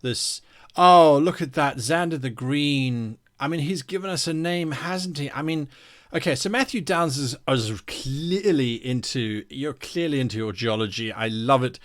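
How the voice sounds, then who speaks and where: A man's voice: mid-range voice, unhurried. Enthusiastic, playful man chatting on a livestream. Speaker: host of a live worldbuilding stream. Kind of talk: casual livestream chat, reading out viewer comments.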